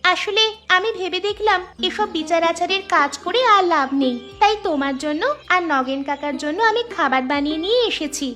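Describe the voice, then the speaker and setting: বাংলা কার্টুনে খুব চড়া গলার জেদি গ্রামের মেয়ে এক মহিলার অভিনয়ে. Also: female voice, very high-pitched, brisk, few pauses, bright, forceful tone. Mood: coaxing. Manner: firm, insistent. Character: determined, crafty young village girl seeking justice. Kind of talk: Bengali cartoon voice acting. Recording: music behind the voice.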